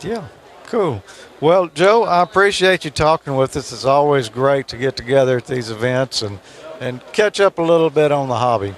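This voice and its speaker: A man's voice: medium pitch, animated, moderate pace. A man with an American accent, casually chatting as the host of a show. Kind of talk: casual hosting and chat. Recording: noisy background ambience.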